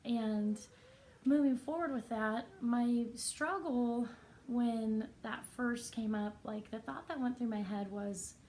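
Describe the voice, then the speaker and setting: Soft, raspy woman vlogger. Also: fairly high pitch, a little hiss or hum. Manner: earnest, reflective, unhurried with pauses. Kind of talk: personal vlog, sincere reflection.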